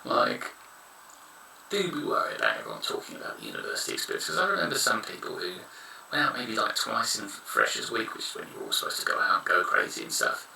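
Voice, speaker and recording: A frank man in his thirties, talking casually and bluntly with a low, gravelly voice. His tone is sharp and bright. He sounds a little distant from the mic in an echoey room. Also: big pitch swings, fast talker, a little hiss or hum. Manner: frank, rambling, blunt opinions. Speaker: vlogger giving advice. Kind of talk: casual advice monologue.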